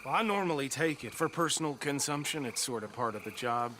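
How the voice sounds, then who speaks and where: A man's voice: mid-range voice, expressive, brisk, background noise, natural voice. A man playing a folksy rural lawman. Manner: folksy then abusive. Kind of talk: video game cutscene acting.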